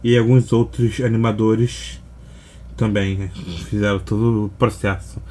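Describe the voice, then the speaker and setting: A man's voice: lowish voice, flat delivery, unhurried, dark tone, slight background noise. A man with a Brazilian accent hosting a reaction video in laid-back, slangy, casual chat.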